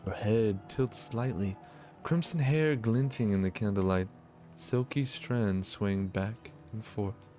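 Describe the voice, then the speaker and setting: Low-voiced man playing a visual novel. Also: slow pace, mellow, faint music behind. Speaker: gaming video host. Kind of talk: visual novel let's play, narration read aloud with casual asides.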